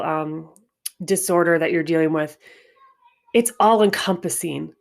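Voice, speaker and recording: A stuffy-nosed woman hosting a spiritual wellness podcast, talking in a reflective, unhurried way with frequent restarts. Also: fairly low pitch. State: stuffy nose. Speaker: podcast host.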